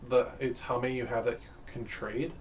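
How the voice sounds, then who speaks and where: A man's voice: medium pitch, flat delivery, fairly quick, a little mellow, slight background noise. A man co-hosting a stream, laid-back and giving short replies while building a card deck. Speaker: stream co-host. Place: game store.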